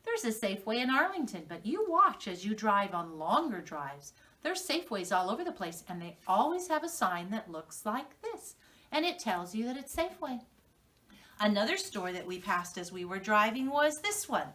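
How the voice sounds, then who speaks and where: Female preschool teacher, American, unhurried and highly animated storytelling for little learners, heard slightly away from the mic. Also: distant mic. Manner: instructional, question-and-prompt delivery for children. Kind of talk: reading lesson and storytelling for young children.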